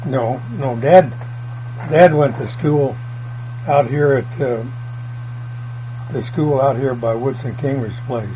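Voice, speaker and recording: A man's voice: mid-range voice, slow pace, pauses often, mellow. An older man, telling family history in a folksy, meandering way. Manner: folksy, meandering, plain country grammar. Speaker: oral history interviewee. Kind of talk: rambling family reminiscence. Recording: dull lo-fi tape with mains hum.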